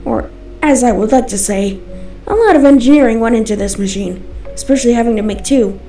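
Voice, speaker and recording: A woman's voice: a little high, brisk, mellow, distant mic. A woman narrating a scripted first-person story as its storyteller. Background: music.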